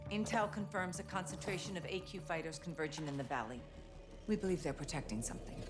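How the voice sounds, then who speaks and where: A low-pitched female intelligence officer played by an actress briefs in a military game. She is composed and insistent, speaking over prominent dramatic music. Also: fast, natural voice. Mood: briefing, businesslike.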